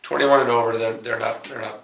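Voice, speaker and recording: A laid-back man with a gravelly, bright voice co-hosts a casual livestream, calling out viewers by name. The room gives his voice a noticeable echo. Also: mid-range voice, steady tone, fairly quick, slight background noise. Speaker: podcast co-host. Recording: roomy echo.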